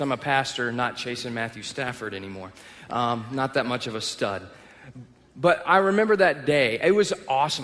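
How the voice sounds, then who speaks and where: Texan pastor in an echoing hall. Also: male voice, mid-range voice, fairly bright tone. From Texas. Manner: personal storytelling with self-deprecating humor. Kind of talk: sermon. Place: church auditorium. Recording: long reverb of a large hall.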